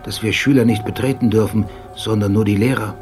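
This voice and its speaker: An actor playing an obsessive, haunted man recounting memories and thoughts in terse, often hushed phrases in a radio drama. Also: lowish voice, steady tone, brisk, lightly acted. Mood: measured recollection. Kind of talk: radio drama interior monologue. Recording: radio play with prominent music underneath. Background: loud music.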